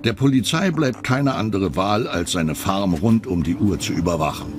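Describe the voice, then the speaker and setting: A man with a low voice and lively, swooping intonation narrates a true crime documentary. His delivery is measured and builds suspense, with music playing behind him.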